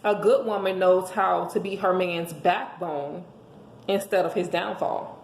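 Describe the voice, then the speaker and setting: A hoarse woman giving an emphatic, preachy motivational monologue, speaking as a host handing out relationship advice to listeners. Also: fairly low pitch, fairly even intonation, unhurried. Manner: emphatic, preachy, rhetorical questions. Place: indoors at home. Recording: echoey room with faint background noise.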